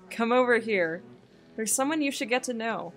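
A woman's voice: fairly high pitch, expressive, unhurried, fairly bright tone, soft background music. A woman playing a bold, teasing, determined society woman in an audio drama. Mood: teasing, confident.

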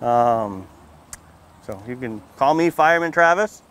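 A man's voice: medium pitch, expressive, a little slow, fairly bright tone, smooth. A man in his thirties, a firefighter, talking and reading to young children in a plain-spoken, friendly way, outdoors through a face mask. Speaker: firefighter and fire prevention educator reading at a children's storytime. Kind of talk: read-aloud storytime and casual talk to young children. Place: outdoors under a canopy on a library lawn.

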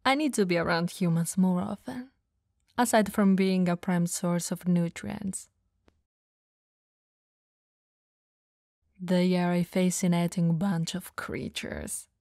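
Woman acting as a slow, teasing, mellow vampire lady. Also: on the low side, no echo, clean studio sound. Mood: playful, flirtatious. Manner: soft, breathy, slow teasing delivery, often dropping to whispers. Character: elegant, teasing, dominant female vampire, flirtatious yet caring. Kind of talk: ASMR comfort roleplay.

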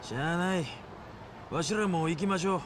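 An actor playing a brash middle-aged yakuza underboss in a video game, with a Hiroshima accent and rough, blunt dialect. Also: male voice, high voice, moderate pace, background noise, close to an everyday voice. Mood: casual, concerned. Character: brash, gruff male yakuza underboss, loyal to his elder. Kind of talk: acted video game cutscene dialogue.

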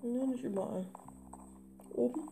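A woman's voice: a little high, moderate pace, creaky, warm tone. A woman in her twenties co-hosting a let's play, casually explaining the game. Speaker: gaming video co-host. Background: guitar music.

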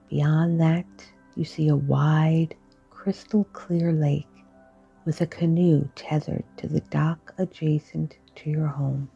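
A woman guiding a sleep meditation in a slow, soft, partly whispered voice. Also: sits low, gravelly, breathy edge, dull audio. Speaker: meditation guide.

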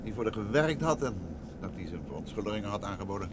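A man's voice: on the low side, expressive, fast talker, close to an everyday voice. An actor playing a composed, probing male detective in a Dutch radio drama. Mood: composed, inquiring. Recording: old radio play, background noise.

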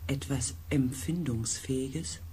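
A man's voice: mid-range voice, slow pace, warm tone, slight background noise, natural voice, poor audio. Anxious, hesitant man, played by an actor. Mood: puzzled. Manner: hesitant, uneasy, searching for words. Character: anxious male researcher on a space expedition. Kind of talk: science fiction radio drama acting.

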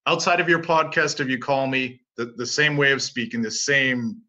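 A man's voice: medium pitch, normal speed, sharp tone. A Canadian-born man in his forties, a martial arts teacher, talking casually and self-assuredly as an interview guest. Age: 40s.

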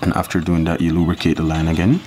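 A man from Jamaica walking through a fishing knot step by step in a relaxed, casual tutorial. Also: low-pitched, fast talker, faint music behind. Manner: relaxed, step-by-step instruction with counting. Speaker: fishing channel host.

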